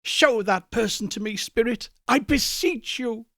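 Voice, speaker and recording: A man's voice: extremely high pitch, unhurried, bright, forceful tone, no room sound, clean studio sound, pitched above the performer's own voice, exaggerated voice. A man voicing a frightened, remorseful old miser who pleads in agony, in an audiobook. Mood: agonized, pleading. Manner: agonized, pleading, trembling earnestness. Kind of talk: audiobook character voice.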